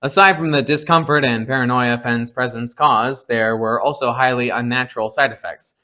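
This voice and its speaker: A man's voice: mid-range voice, fairly bright tone. A man narrating a scripted explainer, with informal, playful asides to the viewers. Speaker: video essay narrator.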